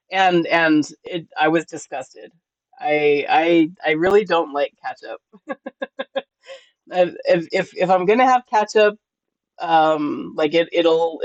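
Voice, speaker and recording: A man from California and New Mexico chatting on a live stream while reading chat, halting with many restarts and frequent laughs. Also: fairly high pitch, no echo, dull audio. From born in California, raised in New Mexico. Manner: laughs often, easygoing.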